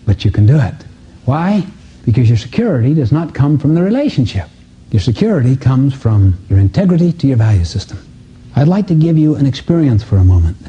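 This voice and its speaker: Male motivational speaker, husky and mellow, emphatic live-seminar delivery with pointed questions, lo-fi sound. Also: mid-range voice. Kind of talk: live self-help seminar talk, teaching with acted-out dialogues. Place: seminar room before an audience. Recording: dull, muffled lo-fi audio.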